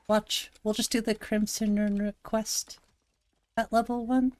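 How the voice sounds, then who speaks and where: Gravelly-voiced woman streamer, dry close mic. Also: fairly high pitch, level tone, a little slow, darkish tone, no room sound. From Montana, USA. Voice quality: gravelly, slightly raspy. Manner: chatty, thinks aloud, reads and answers chat. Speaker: game streamer. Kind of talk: casual live-stream commentary while playing.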